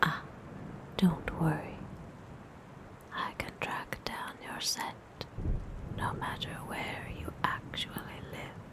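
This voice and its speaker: A woman's voice: close to an everyday voice, poor audio. A woman voicing a predatory, possessive female vampire in a whispered ASMR role-play, with haughty, formal phrasing. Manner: whispered, slow and lingering.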